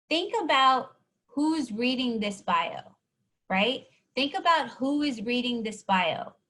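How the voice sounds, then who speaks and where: A woman's voice: fairly high pitch, a bit bright. A woman presenting a workshop, encouraging and explaining step by step, often checking in with the audience. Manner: encouraging, keeps asking "right?". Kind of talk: teaching a live online workshop.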